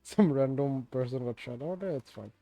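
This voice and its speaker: A man streaming a game, chatting in a laid-back, rambling way with lots of fillers, his voice a bit raspy. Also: medium pitch, moderate pace, mellow, dry recording. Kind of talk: casual game stream chat.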